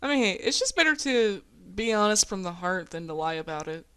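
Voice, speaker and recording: A woman's voice: medium pitch, animated, brisk, fairly bright tone, a little hiss or hum. A woman from the United States chatting casually as a video host, informal and encouraging. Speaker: video host, amateur voice actor. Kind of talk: casual chat.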